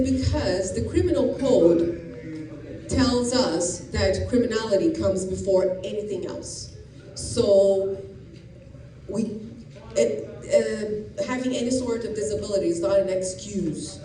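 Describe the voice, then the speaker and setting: Brazilian-born woman officer in echoey room. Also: mid-range voice. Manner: explanatory, frequent restarts and fillers. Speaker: community police officer. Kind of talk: informal talk to students. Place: school classroom or gym. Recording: echoey room, distant and noisy.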